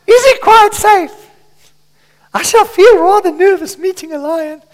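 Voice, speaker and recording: A man's voice: very high voice, measured pace, voice pushed up. A man putting on a girl's voice to play a nervous, prim little English girl.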